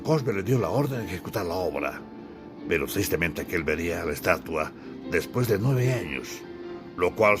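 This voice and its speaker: A man from Bolivia narrating a literary audiobook in a solemn, theatrical storytelling manner. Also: deep voice. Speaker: author and narrator.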